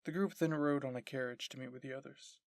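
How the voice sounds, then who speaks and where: Guy narrating a scripted story, lots of vocal fry, super dry sound. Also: medium pitch, fairly even intonation, fast, dry recording.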